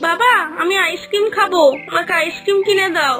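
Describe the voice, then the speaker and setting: A woman's voice: very high-pitched, steady tone, brisk, loud music behind the voice. A woman voicing an eager, pleading young child in a children's cartoon.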